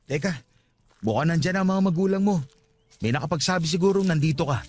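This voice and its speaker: Actor as a gentle, higher-voiced Filipino young man. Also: lively intonation, fast, faint music behind, close to an everyday voice. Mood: earnest, respectful. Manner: soft-hearted, earnest, respectful. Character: gentle, regretful young father, patient and devoted. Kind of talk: Filipino radio drama acting.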